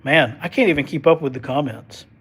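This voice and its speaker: A man's voice: a little high, fairly quick, fairly bright tone, husky. A man, a TV meteorologist chatting folksily through a live weather stream while sniffling with a head cold. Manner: folksy, chatty, joking asides. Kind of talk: casual livestream weather commentary.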